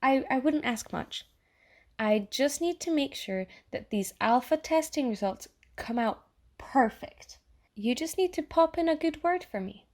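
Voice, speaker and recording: A woman playing a chatty, falsely friendly AI that lives in someone's mind, coaxing and quietly menacing in an audio theatre monologue. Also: sits high, animated, unhurried. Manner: chummy, casual, coaxing, passive-aggressive.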